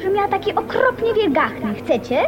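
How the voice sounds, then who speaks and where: A very high-pitched, animated little girl in a radio play. She is bossy and taunting, pushing others about in a childish sing-song, with music heard in the recording. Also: normal speed, muffled recording. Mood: scheming. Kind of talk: 1980s radio drama acting.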